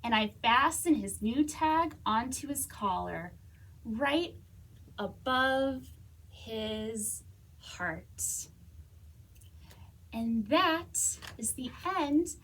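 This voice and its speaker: A woman reading a children's story aloud as a storytime reader, expressively, with dramatic pauses and whispers. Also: fairly high pitch, expressive, fairly bright tone, husky, poor audio. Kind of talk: animated children's read-aloud.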